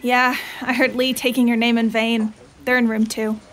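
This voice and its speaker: A high-pitched, casual voice. It is a droll TV camera operator played by an actress in an audio drama, speaking offhandedly. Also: female voice, little pitch movement, normal speed, close to an everyday voice. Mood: droll, friendly.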